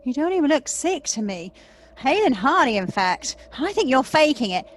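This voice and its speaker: A woman's voice: high-pitched, very animated, moderate pace, slight background noise. A woman playing a jealous, wounded and accusing sister in an audio play, dramatic and confrontational. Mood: bitter, accusing.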